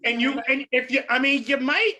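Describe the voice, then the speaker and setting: A young woman chatting casually as host, sassy and animated, laughing often. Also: sits high, fairly quick. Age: young adult. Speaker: podcast host. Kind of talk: casual chat.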